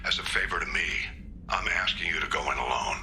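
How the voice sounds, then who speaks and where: An actor playing a stern military admiral in a video game, giving a formal, businesslike briefing in clipped phrases. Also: male voice, low-pitched, quick pace, sharp tone, slight background noise, full character voice. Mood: grave, urgent. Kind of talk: dramatic video game dialogue.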